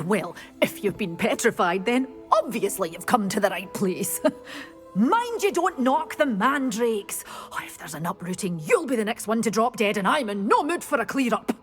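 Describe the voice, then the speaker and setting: An actress with a British accent voicing a flustered, chatty woman shopkeeper in a video game, fussy and effusive. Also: fairly high pitch. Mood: fussy warning. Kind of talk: video game character dialogue.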